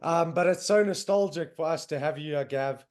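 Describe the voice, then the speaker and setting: Young man, South African accent, podcast host, enthusiastic, lots of fillers, slightly high pitch. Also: normal speed, a little mellow. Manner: loose, filler-heavy, enthusiastic. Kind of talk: casual podcast chat. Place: home in northern England, on a video call.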